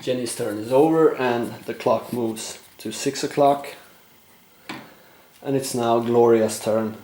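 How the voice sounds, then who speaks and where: Man, mid-pitch, casual board game commentator with a slightly hoarse voice; roomy echo. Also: takes it slowly, dark tone. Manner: explains rules while thinking aloud, frequent "uh" fillers. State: losing his voice, clearing throat. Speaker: board game player narrating a play-through.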